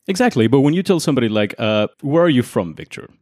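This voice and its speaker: A young man from Mexico hosting a conversational explainer, making his case with lively rhetorical questions. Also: medium pitch, fast, dry recording, studio quality. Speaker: podcast host.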